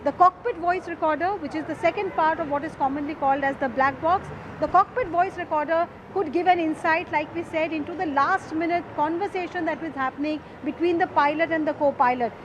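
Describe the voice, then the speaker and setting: High-voiced Indian woman reporter, noisy outdoor audio. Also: fairly quick, hardly any pauses. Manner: emphatic, explanatory, rhetorical questions. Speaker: field news reporter. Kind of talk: live on-location news reporting. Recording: clearly noisy outdoor urban field audio.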